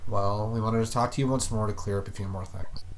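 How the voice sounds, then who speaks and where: An actor playing a composed, formal police detective questioning a suspect in an audio drama. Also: male voice, lowish voice, steady tone, brisk, slight background noise, natural voice. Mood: composed, procedural. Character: composed male police detective conducting an interrogation.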